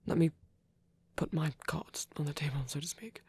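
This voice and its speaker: A performer playing a gentle, protective male vampire priest in an intimate ASMR roleplay, speaking softly and haltingly, often in a whisper. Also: mid-range voice. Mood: earnest, hesitant. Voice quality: soft, breathy, often whispering. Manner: hesitant, tender, halting pauses.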